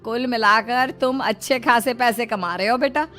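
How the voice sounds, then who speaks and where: A woman's voice: fairly high pitch, moderate pace, bright, forceful tone. A woman voicing an encouraging, money-counting middle-aged mother-in-law in a cartoon family drama. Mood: pleased, approving. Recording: music bed under the voice.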